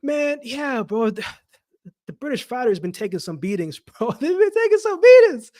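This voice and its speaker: A high-voiced man hosts a casual live chat in a dry, close-sounding space. He talks in loose slang and often says a phrase again for emphasis, as if speaking straight to his regulars. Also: big pitch swings, moderate pace, darkish tone, dry recording. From Jamaica-born, raised in Canada. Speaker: livestream host. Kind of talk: casual live chat with viewers, boxing commentary.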